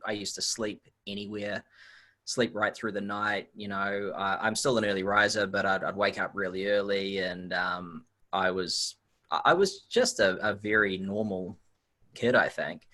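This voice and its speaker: A man's voice: lowish voice, normal speed, bright, forceful tone. A man in his forties with a New Zealand accent, chatting in an easygoing, anecdotal way as an interview guest. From Auckland, New Zealand. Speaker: podcast guest, nutrition researcher. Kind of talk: casual chat, anecdotal.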